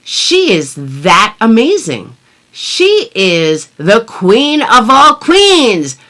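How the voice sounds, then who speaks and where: A woman hosting a commentary video, reading a document aloud with sarcastic, theatrical asides and drawn-out emphasis. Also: fairly high pitch, wildly swooping pitch, bright, forceful tone.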